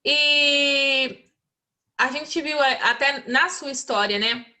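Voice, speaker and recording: A woman's voice: high-pitched, slow pace, sharp tone. A woman with a Brazilian Portuguese accent, an English teacher hosting a casual mentoring chat, agreeable and full of little tag questions. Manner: agreeable, many filler tags and restarts. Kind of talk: casual conversation.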